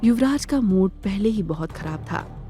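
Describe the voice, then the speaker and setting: A woman narrating a scripted audio drama in a measured, dramatic storytelling manner. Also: mid-range voice, expressive, dark tone, faint music behind.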